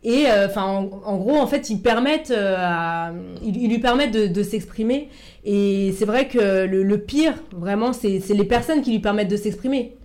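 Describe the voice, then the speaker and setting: Panelist: woman, middle pitch, hesitant, full of restarts. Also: moderate pace, a little mellow. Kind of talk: casual debate.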